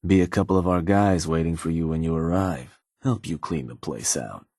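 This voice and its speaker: Deep, husky, cynical gang advisor. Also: male voice, moderate pace, dark tone, dry recording, clean studio sound. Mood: businesslike, encouraging. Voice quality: husky, low. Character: cynical gang advisor man, sly, folksy, menacing. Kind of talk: video game character dialogue.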